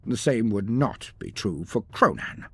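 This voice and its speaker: Male, husky voice, very varied intonation, theatrical fantasy narration, dramatic pauses, faint backing music. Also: lowish voice, expressive. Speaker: story narrator. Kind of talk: dramatic scripted narration of fantasy battle fiction.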